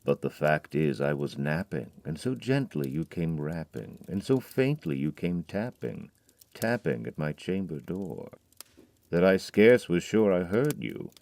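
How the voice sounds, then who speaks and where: A man giving a brooding, measured dramatic reading of a poem, dropping to a hush on key words. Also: sits low, very animated, vocal fry. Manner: measured, brooding recitation with hushed drops. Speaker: narrator.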